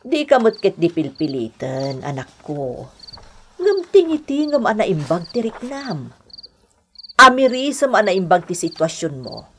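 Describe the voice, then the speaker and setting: Madamdaming inang Ilokana. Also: female voice, fairly low pitch, very animated, a little slow, a bit bright, a little hiss or hum, heavily acted. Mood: gentle urging. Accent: Ilocano. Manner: earnest, coaxing. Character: caring, pleading mother and new grandmother. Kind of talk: Ilocano radio drama acting.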